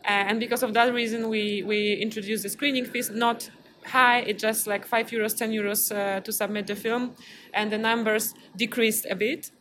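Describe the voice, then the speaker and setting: A woman's voice: a little high, steady tone, normal speed, fairly bright tone. A woman from Poland with a Polish accent, answering interview questions as a festival programmer in a hesitant, thoughtful way. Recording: clearly noisy background ambience.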